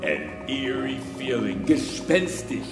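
A man recites very slowly and dramatically. His fairly high voice swings up and down expressively, and each phrase is drawn out. Clear music plays underneath. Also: big pitch swings, reverberant, big-room sound.

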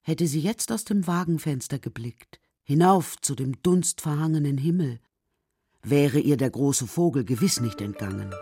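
A woman's voice: low-pitched, animated, moderate pace, warm tone, slightly rough. A woman reading scripted storybook narration as the narrator of a children's radio drama.

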